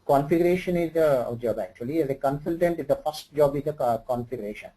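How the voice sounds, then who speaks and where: A man with an Indian English accent explains things one-on-one as an instructor. His voice is mellow and soft-edged, and he speaks haltingly with lots of fillers and loose grammar. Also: fairly high pitch, poor audio. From Andhra Pradesh, India. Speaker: SAP HR instructor. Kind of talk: informal one-on-one tutoring.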